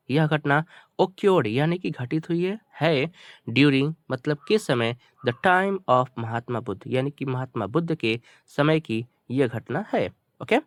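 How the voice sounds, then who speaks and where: A man's voice: mid-range voice, no room sound, studio quality. A man teaching through a story, reading phrases out and translating them word by word in a repetitive, explanatory manner. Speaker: teacher, channel host.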